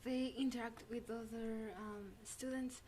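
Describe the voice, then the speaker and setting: A woman's voice: fairly high pitch, fairly even intonation, a little slow, darkish tone, slight background noise. A young woman, a Spanish-speaking student, answers interview questions hesitantly with many fillers and restarts. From Spanish-speaking country. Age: young adult. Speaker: student guest.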